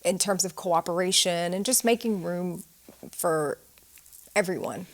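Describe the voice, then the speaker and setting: A woman in her forties with a slight Southern American twang, answering interview questions in a thoughtful, measured way. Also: on the low side. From United States. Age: 40s. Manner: thoughtful, measured, with some fillers. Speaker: guest, author.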